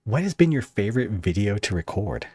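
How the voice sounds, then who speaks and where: A man's voice: on the low side, very animated, fairly quick, darkish tone, breathy edge, no echo, clean studio sound. A man hosting a podcast, interviewing in a casual, conversational style.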